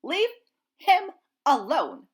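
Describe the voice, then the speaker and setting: A woman voicing a bossy, protective old female goose who scolds loudly, for a storybook reading. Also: high-pitched, huge pitch swings, pitched above the performer's own voice, exaggerated voice. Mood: furious. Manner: loud, scolding, brisk.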